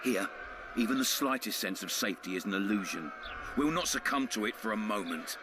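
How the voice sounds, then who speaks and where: A man playing a cynical, authoritative ruler of a fantasy town in measured game dialogue. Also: fairly low pitch, lively intonation, background noise, natural voice. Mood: cold, menacing.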